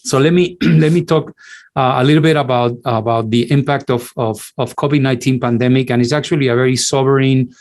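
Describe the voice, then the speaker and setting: Middle-aged man, mid-pitched, halting academic lecturer. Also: fairly even intonation, a little mellow. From Cuba. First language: Spanish. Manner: halting, many restarts and repeated words. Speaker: infectious disease physician, lecturer. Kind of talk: online lecture.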